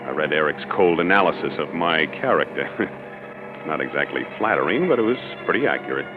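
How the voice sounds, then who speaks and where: An actor playing an uneasy, suspicious hunter, brooding and deliberate, in a 1940s radio suspense drama. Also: male voice, a bass voice, lively intonation, music in the background. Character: uneasy, suspicious hunter narrating his own peril. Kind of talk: 1940s radio acting, first-person narration. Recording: dull old 1940s radio recording.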